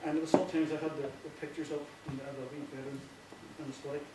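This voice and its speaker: A man with a Northern Irish accent giving an informal, earnest speech, often halting and repeating himself. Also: a little high, level tone. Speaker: playwright giving a thank-you speech. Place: echoey indoor hall before an audience. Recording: very echoey, distant, noisy with clicks.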